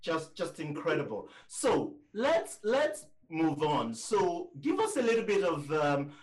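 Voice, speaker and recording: A man's voice: a little high, huge pitch swings, a little slow, distant mic. A man hosting a podcast interview in an enthusiastic, emphatic, conversational manner.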